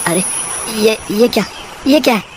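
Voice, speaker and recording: A man voicing a poor, hardworking village miller in a cartoon story, husky and dramatically exclaiming. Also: high-pitched, moderate pace, noisy background, natural voice. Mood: amazed, delighted.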